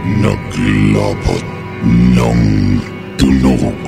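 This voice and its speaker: A Filipino man announces a horror radio drama in a bottomless, heavily gravelled voice. He is very slow, theatrical and ominous, over a music bed. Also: a bass voice. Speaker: announcer and narrator.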